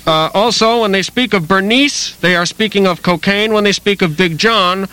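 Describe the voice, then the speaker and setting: A man with a bright, forceful, somewhat high voice explains slang in a mock-authoritative tone. He sounds like a stiff public-information narrator in a comedy sketch. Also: moderate pace, clear, resonant tone. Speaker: comedy troupe member.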